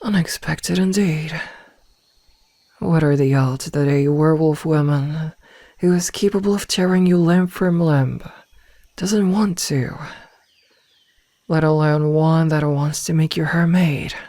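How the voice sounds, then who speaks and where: A woman playing a possessive werewolf from a pack, smitten yet threatening, with deliberate, partly whispered delivery in an ASMR roleplay. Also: deep voice, warm tone, dry recording. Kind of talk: ASMR roleplay audio drama. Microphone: close, dry microphone.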